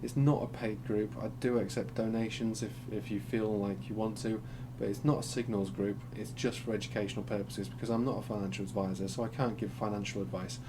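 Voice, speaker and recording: A man with a croaky voice from being unwell, talking through market charts in a rambling, hesitant way with many ums and restarts. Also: lowish voice, brisk, hardly any pauses. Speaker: crypto chart analyst. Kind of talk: casual screen-share market commentary. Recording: steady background hum.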